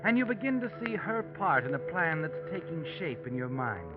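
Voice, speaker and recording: Sinister radio noir narrator, animated, muffled. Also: male voice, a little high, normal speed. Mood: knowing, ominous. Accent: American. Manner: taunting, addresses the character as you. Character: sinister all-knowing male narrator watching from the shadows. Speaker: narrator of a mystery drama. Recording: muffled old radio, loud music behind.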